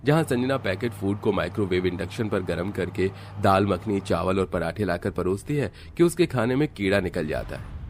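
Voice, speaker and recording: A man narrating a cartoon story in a steady, explanatory way. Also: sits low, moderate pace, slight background noise.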